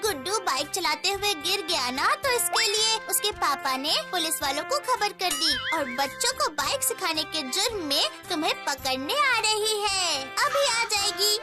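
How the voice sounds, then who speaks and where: A woman voicing a cheeky, bossy little ghost girl in a cartoon, with a high, childlike, squeaky voice. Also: very animated, moderate pace, hardly any pauses. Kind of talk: cartoon voice acting. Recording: loud music underneath.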